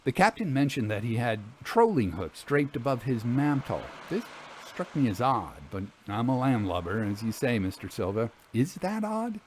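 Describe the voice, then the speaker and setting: A man voicing a gentle, earnest lady investigator in a story, speaking politely and formally. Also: medium pitch, sing-song, normal speed, hardly any pauses, slight background noise, close to an everyday voice. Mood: polite, curious. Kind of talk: audio storytelling, acted dialogue.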